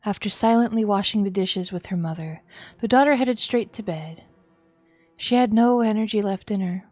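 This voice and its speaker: A woman gives a dramatic, expressive narration of a visual novel as a streamer. Her voice is mid-pitched and recorded close and dry, with music playing softly behind it. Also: moderate pace, no room sound.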